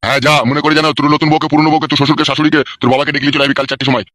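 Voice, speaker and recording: A man's voice: a little high, flat delivery, racing, fairly bright tone, close to an everyday voice. A man voicing a bossy, foul-mouthed village politician in a comic cartoon, coarse and hectoring, with a rural West Bengal accent.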